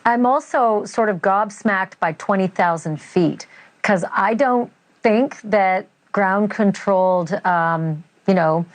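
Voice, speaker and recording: A woman's voice: medium pitch, takes it slowly. Woman interviewer, natural and conversational. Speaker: host interviewing a guest.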